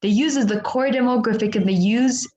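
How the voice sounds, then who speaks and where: A woman's voice: on the high side, a little fast, a little mellow. A woman moderating an online session, formal and hesitant, reading a prepared message aloud. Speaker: webinar moderator. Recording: echoey, dull online audio.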